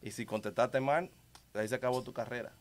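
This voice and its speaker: A man's voice: mid-range voice, fairly even intonation, brisk. A man from the Dominican Republic with a Dominican Spanish accent, speaking conversationally and emphatically about religion, repeating key words for stress.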